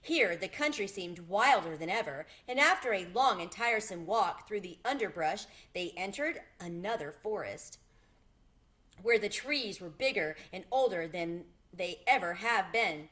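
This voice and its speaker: A woman narrating a children's chapter book aloud in an expressive, deliberate way. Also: medium pitch. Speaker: storybook reader.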